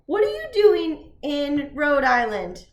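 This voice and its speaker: Mom doing a motherly guardian, reassuring and kind, high voice. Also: huge pitch swings, slow pace, exaggerated voice. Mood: caring. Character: kind caring woman guardian. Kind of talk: home puppet show. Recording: echoey room.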